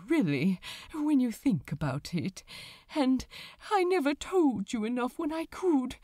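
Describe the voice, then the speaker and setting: A woman voicing an earnest, tearful male angel confessing love in a halting, fervent way, as in an audiobook. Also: a little high, darkish tone, dry recording, studio quality. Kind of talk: emotional audiobook character dialogue.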